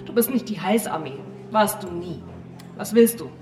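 An actress playing a stern, exasperated, sharp-tongued woman in audio drama dialogue. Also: mid-range voice, expressive, normal speed, lightly acted. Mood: scornful, accusing. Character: stern, exasperated blonde woman confronting an old acquaintance. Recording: roomy, distant from the mic, faint music.

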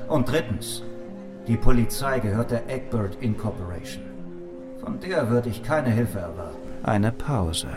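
A man's voice: mid-range voice, natural voice. An actor playing a dry, wry, down-on-his-luck detective who narrates and talks in a noir audio drama. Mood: pleading, earnest. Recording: music playing behind the voice.

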